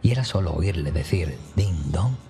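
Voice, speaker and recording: A man's voice: sits low, lively intonation, normal speed, dark tone, faint music behind. A man narrating a scripted first-person horror story as a storyteller.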